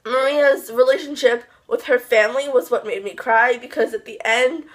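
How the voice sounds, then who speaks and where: A woman's voice: on the high side, normal speed. A young woman talking in a casual book review vlog, chatty and gushing, rambling with frequent asides.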